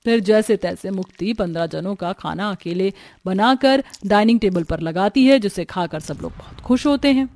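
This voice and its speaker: A woman's voice: medium pitch, moderate pace, few pauses, darkish tone. A woman reading scripted narration for a cartoon family drama. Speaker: story narrator.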